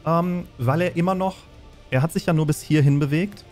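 A man's voice: a little high, normal speed, a little mellow. A man narrating and refereeing a tabletop game as game master, explaining things as he goes. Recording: faint music behind the voice. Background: faint music.

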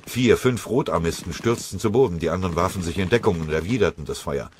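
Deep male narrator reading a dramatic war audiobook, faint battle effects behind him. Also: animated, fast, a little mellow, a little hiss or hum.

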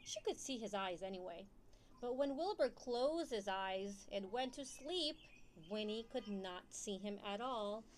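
A young woman reading a picture book aloud to young children in an expressive, questioning storytime manner. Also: medium pitch, a little slow, a little hiss or hum, rough recording. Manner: expressive, asks listeners questions. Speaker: storytime reader.

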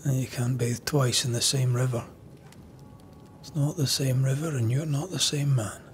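A man's voice: medium pitch, steady tone, normal speed, a little hiss or hum, lightly acted. A man playing an earnest, troubled ghost hunter in a dramatic game, speaking plainly and sombrely. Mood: pensive.

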